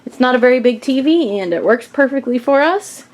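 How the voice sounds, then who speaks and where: A woman's voice: sits high, expressive, a little fast. An American woman in her thirties with a slightly congested voice, giving a chatty, informal house tour as a vlogger. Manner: chatty, informal, explaining as she goes. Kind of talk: casual house tour narration to camera. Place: inside a small house. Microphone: handheld camera at arm's length.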